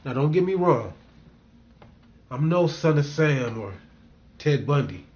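A male actor playing a bitter, troubled middle-aged man with hidden darkness, in a brooding dramatic manner with deliberate pauses. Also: on the high side, lively intonation, a little mellow, dull audio. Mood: menacing, reflective. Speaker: actor performing a monologue and scene reel. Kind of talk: dramatic screen acting, monologue and scene.

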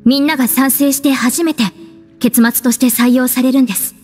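A woman's voice: high voice, steady tone, moderate pace, a little mellow, close to an everyday voice. An actress playing a polite, organised young woman of a theatre troupe in a video game, explaining things in order. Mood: earnest, composed. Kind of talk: Japanese video game voice acting. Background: music.

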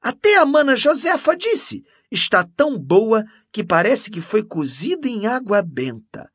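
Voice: medium pitch, very animated, unhurried, slightly rough, no room sound. A reader voicing a pompous, self-satisfied, gossipy male priest who exclaims and repeats himself unctuously in an audiobook story. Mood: gushing, excited.

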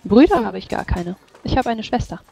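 A player voicing a polite human adventurer in their thirties in a tabletop game, explaining things in a measured way. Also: mid-range voice, very animated, creaky, dark tone, slightly rough, full character voice. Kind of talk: in-character tabletop roleplay. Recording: faint background noise.

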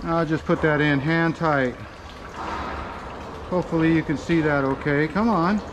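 A man's voice: on the high side, unhurried, noisy background. A middle-aged American man narrating a casual vlog in a folksy, rambling way, as if chatting to himself and the viewer. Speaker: vlogger and tradesman.

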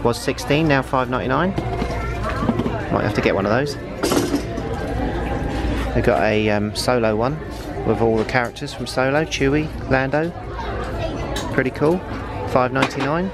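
A man's voice: mid-range voice, moderate pace, long pauses. A man hosting a casual shopping vlog, narrating from behind a handheld camera as he browses. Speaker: vlog host. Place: busy retail store indoors. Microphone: handheld camera, operator behind it. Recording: loud store music and crowd noise. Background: loud music and shopper crowd.

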